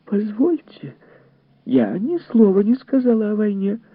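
A male reader plays a gossipy, shallow society lady in an audiobook. The pitch is pushed well up and swoops freely. Her talk is smug and exclamatory, on a narrow phone-like recording. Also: big pitch swings, moderate pace, breathy edge, no echo. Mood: indignant. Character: chattering, smug, shallow society woman, easily scandalized. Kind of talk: audiobook character voice.

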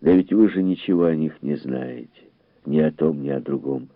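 A man's voice: extremely low pitch, normal speed, creaky, no echo. A man voicing an angry, rebellious husband at breaking point, curt, bitter and explosive, in an audiobook. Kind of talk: audiobook character voice. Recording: narrow, phone-like lo-fi sound.

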